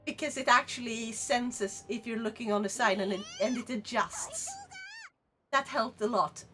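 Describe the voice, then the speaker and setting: A woman with a Swedish accent, streaming and chatting casually with her live chat in a rambling, animated way, laughing often. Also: fairly high pitch, moderate pace, faint music behind. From Sweden.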